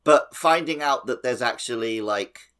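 A man's voice: medium pitch, a little slow, a bit bright, clean tone. A man co-hosting a podcast, chatting casually about a video game. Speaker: podcast co-host.

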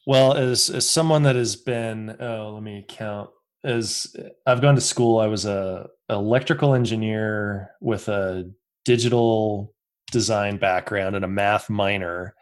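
A man in his fifties hosts a casual conversation, musing aloud in a loose, reflective way. His voice is on the low side, and he laughs easily between thoughts. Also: a little slow. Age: 50s. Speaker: podcast host. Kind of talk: casual interview chat.

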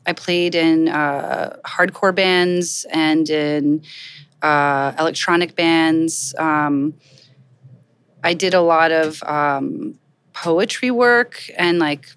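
A woman's voice: lowish voice, a bit bright, smooth. A woman from Poland, a guest artist talking casually in an interview, with many ums and likes. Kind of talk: conversational interview.

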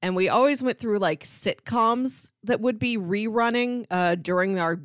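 A woman speaks at a medium pitch as a podcast co-host in casual conversation. The sound is dry, with very little room around her voice. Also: measured pace, no room sound. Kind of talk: casual chat about TV sitcoms.

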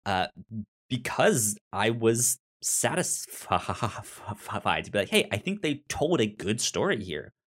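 A man with a stutter, talking casually and haltingly as he co-hosts a podcast discussion. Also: on the low side, big pitch swings, fairly bright tone. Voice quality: stutter, repeating sounds and syllables. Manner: halting, searching for words. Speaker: podcast co-host reviewing an audio drama.

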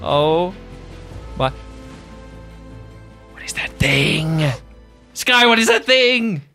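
Panicky, exasperated man for improvised audio drama, acted by a game master: raised pitch, frantic pleading questions, music underneath. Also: expressive, extremely slow, pushed and bright, heavily acted. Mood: horrified. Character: panicky, horrified, exasperated man in fear of trouble. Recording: music behind the voice.

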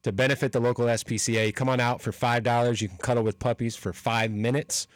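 A man's voice: medium pitch, little pitch movement, fast, no room sound. Upbeat male radio sports host. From New Hampshire. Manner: upbeat, joking, reads event listings. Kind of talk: casual radio sports chat.

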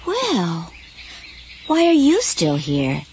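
An actress playing a flustered suburban woman in an audio drama, scolding but soft-hearted, in a natural style. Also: lowish voice, huge pitch swings, drawn-out words, lo-fi sound, a little hiss or hum, exaggerated voice. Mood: gentle, concerned.

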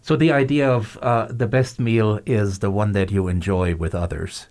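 A man's voice: lowish voice, steady tone, normal speed, a little mellow. A man hosting a podcast interview, speaking in a thoughtful way and often searching for his words. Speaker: host.

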